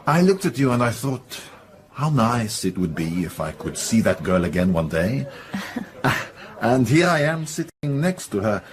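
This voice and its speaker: A man's voice: medium pitch, sing-song. An actor playing a flirtatious, courtly Frenchman in a radio drama, with a French accent and formal, gallant phrasing. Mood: amused, flirtatious. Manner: gallant, formal, halting search for English words.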